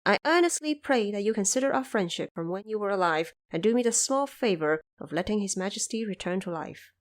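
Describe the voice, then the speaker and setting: A woman's voice: medium pitch, expressive, brisk, close to an everyday voice. An earnest court minister, played by a woman speaks the words of his letter in an audio drama. He is formal, reverent and self-effacing, as if pleading with an elder. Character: living male court minister, humble, earnest letter writer.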